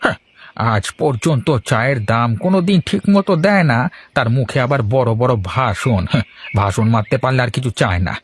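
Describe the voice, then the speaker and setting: Man as older tea-stall owner, cartoon dialogue, mid-range pitch, gruff manner, sarcastic, curt, mocking. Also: fairly quick, slight background noise, natural voice. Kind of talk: Bengali cartoon dialogue dubbing.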